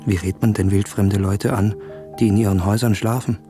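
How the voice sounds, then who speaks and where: Man playing an anxious young Austrian gentleman, music behind. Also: on the low side, fairly even intonation, a little fast, natural voice. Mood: flustered. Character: embarrassed, anxious young Austrian gentleman traveller, inner thoughts. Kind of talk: radio drama acting.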